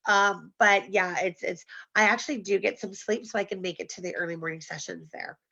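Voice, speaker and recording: A woman hosts a relaxed group chat over a video call, talking at length and laughing easily. Her voice is bright and forceful. The call audio is a little dull and muffled. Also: mid-range voice, brisk, gravelly. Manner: chatty, laughs often. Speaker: host.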